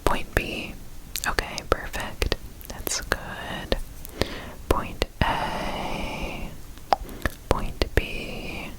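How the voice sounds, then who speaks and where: A woman whispering softly and breathily in an ASMR roleplay, giving gentle, reassuring step-by-step instructions as an examiner. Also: very slow, poor audio. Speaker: ASMR performer playing an examiner.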